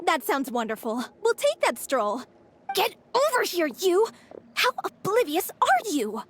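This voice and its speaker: A woman's voice: very high-pitched, wildly swooping pitch, measured pace, a little hiss or hum, heavily acted. A woman voicing a small, bossy female companion in a video game, exaggerated and bubbly. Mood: scolding.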